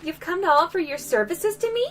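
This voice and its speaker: A woman's voice: extremely high pitch, lively intonation, a little fast, a bit bright, pitched above the performer's own voice. A woman voicing an earnest, eager young woman from a video game, dramatic and exclaiming. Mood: excited, hopeful. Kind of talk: reading game dialogue aloud in character. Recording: music behind the voice. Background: game music.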